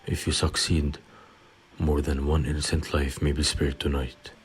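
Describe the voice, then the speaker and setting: A man playing a wise, elderly wizard headmaster with measured, cryptic phrasing in an audio play. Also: rumbling low, flat delivery, husky, full character voice. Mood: grave, cryptic. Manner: measured, deliberate, portentous phrasing. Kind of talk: dramatic audio play acting.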